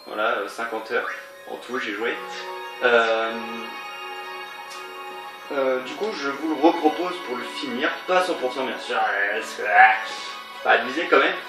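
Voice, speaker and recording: A man's voice: mid-range voice, measured pace, pauses often. A man with a stuffy nose from a cold, giving rambling, hesitant commentary on a game he is playing, with many fillers and restarts. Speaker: let's play gamer. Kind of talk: casual gameplay commentary. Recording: roomy, distant mic, game music behind. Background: game music.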